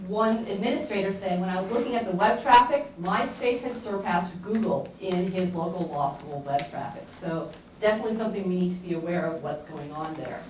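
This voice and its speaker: Woman giving a chatty conference talk, big echoey lecture hall, mic far off, faint hiss. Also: mid-range voice. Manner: lively, chatty, emphatic. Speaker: conference presenter. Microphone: far room camera.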